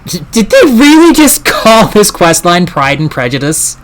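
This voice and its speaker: A man's voice: sits high, very animated, normal speed, slight background noise. A man commenting casually on a game as he streams it, sweary and sardonic.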